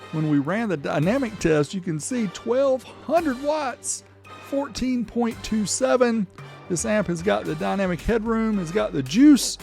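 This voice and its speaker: Higher-pitched man, chatty gear reviewer. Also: vocal fry, a little mellow. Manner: chatty, informal, upbeat. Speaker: host of a car audio testing show. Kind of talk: casual product test commentary.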